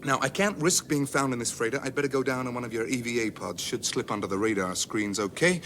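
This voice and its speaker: A man's voice: mid-range voice, fast, fairly bright tone, slight background noise, natural voice. Cocky, slangy space rogue played by a man. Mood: brisk, commanding. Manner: slangy, swaggering, impatient. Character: brash, cocky, hip space rogue and ex-president. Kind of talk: British radio comedy drama acting.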